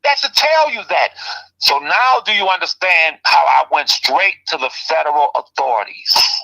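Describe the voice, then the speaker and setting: A man hosting a heated call-in talk show, ranting with passion and swinging between loud outbursts and low, pressing remarks. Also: animated, normal speed, creaky, poor audio. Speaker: commentary show host.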